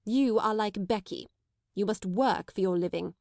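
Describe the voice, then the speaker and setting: A woman's voice: fairly high pitch, expressive, normal speed, no room sound. A woman voicing a stern, domineering middle-aged schoolmistress in an audiobook, cold and scolding, in a dry recording. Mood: harsh. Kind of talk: audiobook character voice.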